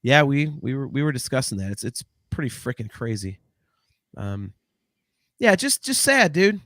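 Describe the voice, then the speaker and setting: A man in his late forties co-hosts a relaxed podcast, talking in a loose, rambling way with frequent restarts and casual swearing. His voice sits at an ordinary middle pitch. The sound is close and dry, with no room around it. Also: normal speed, no echo. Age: 40s. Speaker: podcast co-host.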